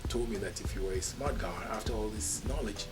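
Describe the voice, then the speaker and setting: A middle-aged man from Nigeria, a cardiologist, telling his story in an interview at a steady, measured pace. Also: on the low side, loud music behind the voice. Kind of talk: interview answers, personal story.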